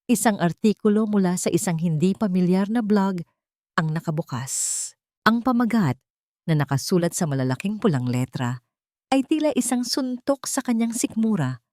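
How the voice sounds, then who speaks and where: A woman's voice: mid-range voice, expressive, normal speed, a little mellow, dry recording, studio quality. A woman storyteller reading a scripted, dramatic story narration.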